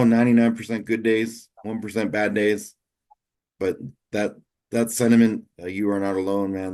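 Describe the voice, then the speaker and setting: Low-voiced man in his forties hosting. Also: a little mellow. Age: 40s. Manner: candid, easygoing and confessional. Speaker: podcast host. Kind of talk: casual interview chat.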